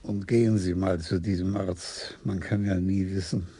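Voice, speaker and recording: An actor playing a calm, older police commissioner in a radio crime drama, speaking in a measured, gravelly way. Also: male voice, low voice.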